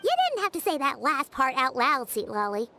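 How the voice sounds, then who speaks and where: A woman voicing a tiny, cheeky, childlike companion creature in a video game with a squeaky voice. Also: big pitch swings, a little fast, creaky, heavy background noise, heavily acted. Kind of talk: video game character acting. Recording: music under the dialogue.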